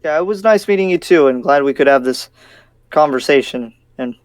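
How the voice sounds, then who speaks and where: A man's voice: on the high side, expressive, a little fast, clear, resonant tone, no room sound, close to an everyday voice. A man playing a laid-back but hard-line demon slayer in an improvised anime game roleplay, speaking casually and tersely. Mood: relaxed, friendly. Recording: dry, music behind voice.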